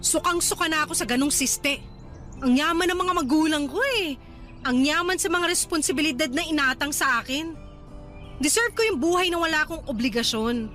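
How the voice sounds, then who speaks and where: A vain young resort worker in a Filipino radio drama. Her Filipino-accented voice is very high and sharp, with theatrical, indignant delivery over faint music. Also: expressive, moderate pace. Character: vain, ambitious young resort worker weary of family burdens.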